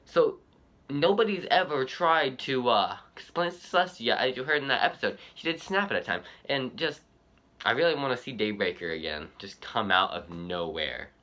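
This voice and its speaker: A man hosts a reaction video in a casual, off-the-cuff way. His voice is middling in pitch, bright and very varied in intonation, and he rambles haltingly through his thoughts. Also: animated. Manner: halting, rambling, repeats himself. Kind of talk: casual unscripted fan commentary.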